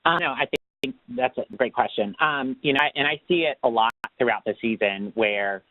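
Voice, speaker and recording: A man's voice: medium pitch, normal speed, no echo. A man giving a casual, loosely rambling explanation as a program manager on a webinar, over a phone-like connection. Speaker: tax assistance program manager. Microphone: phone or web conference call. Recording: dry, narrow phone-line sound.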